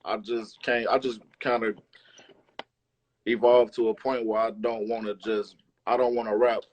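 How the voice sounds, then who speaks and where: Low-voiced slangy man chatting casually. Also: little pitch movement, normal speed, a little mellow. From Chicago, United States. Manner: slangy, profane, informal. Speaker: rapper, interview guest. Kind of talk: casual chat. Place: remote livestream interview.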